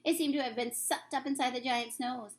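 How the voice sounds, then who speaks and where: A woman reading a children's story aloud in expressive narration. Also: high voice, brisk. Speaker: reader, teacher.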